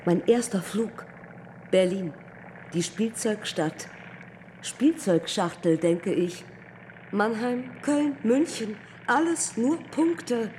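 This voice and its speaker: An actress playing a dreamy, wry woman aviator in a German radio play, speaking in sparse, measured phrases. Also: mid-range voice, a little mellow, a little hiss or hum, natural voice.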